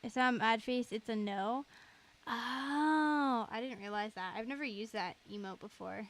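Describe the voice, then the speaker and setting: A woman's voice: a little high, normal speed, fairly bright tone. A woman livestreamer chatting casually over a game, giggly, rambling and self-deprecating. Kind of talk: casual gaming livestream chat.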